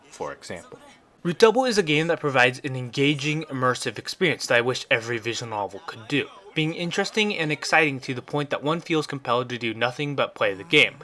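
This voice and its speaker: A man reads a scripted review in the role of a video game critic. His voice sits at a medium pitch, and he delivers prepared narration throughout. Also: sharp tone.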